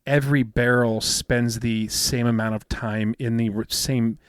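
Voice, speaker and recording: A man in his fifties with a soothing, smooth voice, chatting casually as a podcast co-host and rambling with many fillers and restarts. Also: medium pitch, fairly even intonation, unhurried, no echo, clean studio sound. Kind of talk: casual chat.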